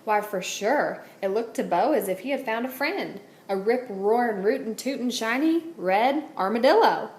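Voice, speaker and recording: A woman's voice: a little high, lively intonation, moderate pace, few pauses, darkish tone. A woman, a teacher, reading a children's storybook aloud indoors. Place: indoors at a wooden table, book on camera. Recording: roomy echo, clear background noise.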